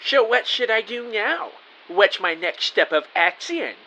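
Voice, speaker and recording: A man playing an earnest, excitable rookie spy who narrates and acts out his own adventure in an audio drama with eager melodrama. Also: high-pitched, unhurried, exaggerated voice, low-quality recording. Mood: delighted. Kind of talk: first-person audio drama narration with dialogue. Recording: faint background hiss.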